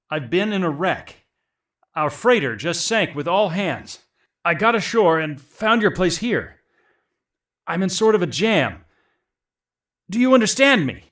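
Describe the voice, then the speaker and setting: A man's voice: a little high, big pitch swings, a little slow, long pauses, fairly bright tone. A man playing a plainspoken shipwrecked mercenary in a space adventure audio drama. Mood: polite, uneasy. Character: male shipwrecked mercenary hunter, rugged and plainspoken, courteous.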